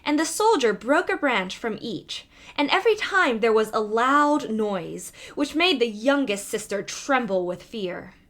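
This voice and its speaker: A woman's voice: a little high, expressive, a little slow, fairly bright tone. A woman reading a fairy tale aloud as a storybook narrator.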